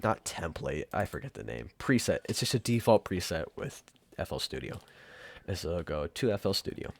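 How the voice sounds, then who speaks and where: A man giving a casual, off-the-cuff software tutorial in an offhand, rambling and informal way. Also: low-pitched, sing-song, normal speed, vocal fry. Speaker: tutorial presenter.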